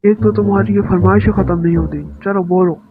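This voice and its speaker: High-pitched greedy cartoon bear, voiced by a man. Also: steady tone, a little fast, noisy background, natural voice. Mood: grumbling. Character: greedy, grumbling male bear who threatens to eat a girl. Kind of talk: children's cartoon story dub. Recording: dull sound with music bed.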